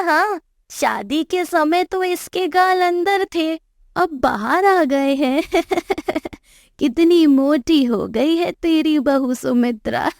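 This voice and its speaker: A woman's voice: sits high, creaky, no room sound, studio quality, full character voice. A woman voicing a teasing, gossipy middle-aged family friend in a cartoon moral story.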